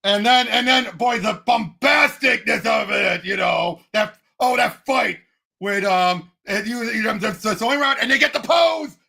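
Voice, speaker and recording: A man in casual fan chat as a show co-host, excitable and emphatic, often halting mid-thought. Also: high-pitched, vocal fry, breathy edge. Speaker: co-host of a movie and comics discussion show.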